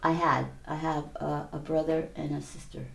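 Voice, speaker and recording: A woman from Hawaii and California, telling her own story casually and haltingly as an interview guest. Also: low-pitched, level tone, creaky. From Hawaii and San Mateo County, California. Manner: conversational, halting, repeats "you know". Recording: roomy, echoey space.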